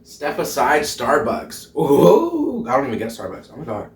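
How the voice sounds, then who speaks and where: A man's voice: medium pitch, huge pitch swings, moderate pace, a little hiss or hum. Young guy in drag hosting a cooking vlog, giggly and swears a lot, voice bounces all over, echoey kitchen, mic kinda far. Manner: bubbly, giggly, swears often, puts on a mock Irish lilt. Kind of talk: casual cooking vlog chat. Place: home kitchen, indoors. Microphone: camera mic from a few feet away. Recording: roomy kitchen echo, off-mic.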